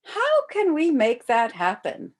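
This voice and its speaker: Determined little girl read by a woman for a picture book: very animated, drawn-out, earnest appeals. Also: sits high, full character voice. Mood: eager. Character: eager, hopeful, determined young girls with big ideas.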